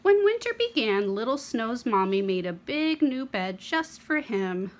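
A young woman reading a picture book aloud to children as host, expressive, with dramatic pauses and emphasis. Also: a little high. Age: young adult.

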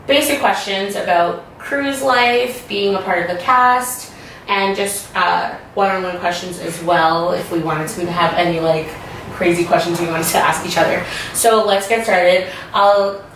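A woman's voice: medium pitch, fairly bright tone. Giggly young woman hosting a casual chat. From Toronto area, Canada. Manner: giggly, chatty, many likes and you-know-what-I-means. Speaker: vlog host. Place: dim living room, indoors. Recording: roomy, distant camera audio, faint hiss.

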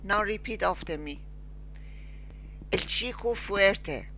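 Very slow, bright-voiced woman teacher. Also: mid-range voice, animated, slight background noise. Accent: Persian. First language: Persian. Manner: slow, deliberate, spells out each word with pauses. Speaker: Spanish teacher. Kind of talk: slow screen-recorded language lesson with repeat-after-me drills.

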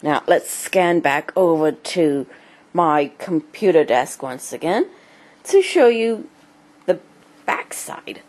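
A woman with a cold and a speech impediment, giving a casual home tour in halting, choppy phrases. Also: low voice, big pitch swings, darkish tone. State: has a cold, clearing throat. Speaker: amateur video blogger and hobby writer. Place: small home computer room. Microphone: handheld camera held by the speaker.

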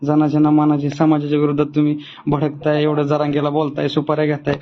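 Male caller, highish and fairly flat voice, probing and confrontational, dull phone audio. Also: fast, slight background noise. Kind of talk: phone conversation. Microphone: phone call. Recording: muffled lo-fi recorded phone call.

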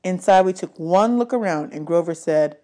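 A woman's voice: lowish voice, moderate pace, dark tone. A woman narrating a novel aloud as an audiobook reader. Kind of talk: read-aloud story narration.

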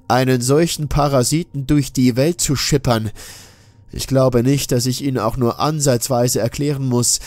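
A man voicing a grave expedition captain in a horror audiobook, speaking in a solemn, measured, emphatic way. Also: mid-range voice, darkish tone, dry recording, close to an everyday voice. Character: grave expedition captain warning his crew of danger.

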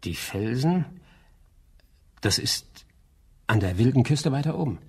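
A man's voice: medium pitch, lively intonation, a little slow, husky. An actor playing a dry, plain-spoken coastal watchman in a German crime radio play, laconic and colloquial. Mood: knowing.

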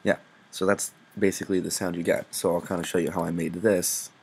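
A young man with a very low voice that crackles with vocal fry. He talks through a tutorial off the cuff, informal, sweary and self-deprecating. The recording has clear background noise with little clicks. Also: level tone, brisk, darkish tone. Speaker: music production tutorial presenter. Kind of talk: casual unscripted screen-recorded tutorial.

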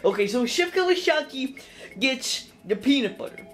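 Young woman, rambling home puppet narration, jokey, high voice, swooping pitch. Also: expressive, moderate pace, faint music behind. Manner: rambling, self-correcting, jokey. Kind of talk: casual narration of a plush puppet cooking skit. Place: home kitchen and dining room.

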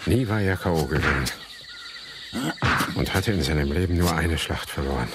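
A man's voice: extremely low pitch, normal speed, very noisy. An actor playing a reflective grown son, narrating his life in literary style in a German radio play.

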